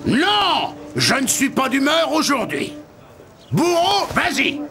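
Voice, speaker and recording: A man's voice: extremely high pitch, wildly swooping pitch, slow, gravelly, heavily acted. An actor playing an irascible, pompous old king in a cartoon, barking imperious orders and blustering impatiently. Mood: furious. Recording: loud music and crowd behind.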